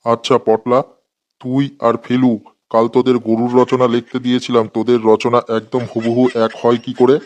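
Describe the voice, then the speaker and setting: A man's voice: mid-range voice, completely flat delivery, normal speed, darkish tone, close to an everyday voice. A man voicing a sarcastic, exasperated schoolteacher scolding pupils in a comedy cartoon. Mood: lecturing.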